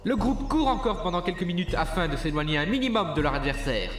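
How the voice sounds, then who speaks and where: A man's voice: sits high, sing-song, fast. A man reading scripted narration for an audio drama. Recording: roomy voice under prominent music.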